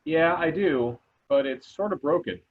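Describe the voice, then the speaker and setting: A man's voice: fairly high pitch, animated, measured pace, narrow, tinny audio. A man playing a practical teacher leading a treasure hunt, reading a script over thin audio. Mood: matter-of-fact. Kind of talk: amateur table read of a movie script.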